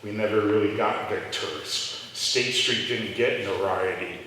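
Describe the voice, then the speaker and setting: A man's voice: moderate pace, sharp tone. A middle-aged man, telling rambling stories with restarts during a live onstage interview. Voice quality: deep voice. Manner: rambling storytelling with restarts and laughs. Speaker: restaurant owner. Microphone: handheld mic that cut out, picked up from a distance. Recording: echoey hall from far off, faint noise.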